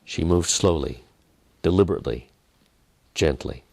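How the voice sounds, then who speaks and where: A man reading a dramatic story in a measured manner, with deliberate pauses and softened phrases. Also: sits low, no room sound. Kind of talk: dramatic scripted narration.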